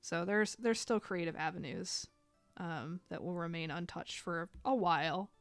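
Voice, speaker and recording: A woman's voice: lowish voice, measured pace, no echo, faint music behind. A woman in her thirties chatting casually while drawing on a live stream, rambling and filling gaps with "like". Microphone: desk microphone.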